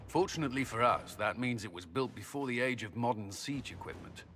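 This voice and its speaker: An actor playing a resolute, authoritative military commander in a fantasy video game. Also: male voice, mid-range voice, lively intonation, a bit bright, faint music behind, lightly acted. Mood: resolute, businesslike. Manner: brisk, authoritative. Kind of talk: dramatic video game acting.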